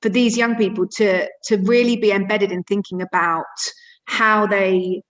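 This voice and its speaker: A woman's voice: medium pitch, fairly even intonation, normal speed, rough recording. A woman giving an informal, reflective explanation as a theatre education practitioner.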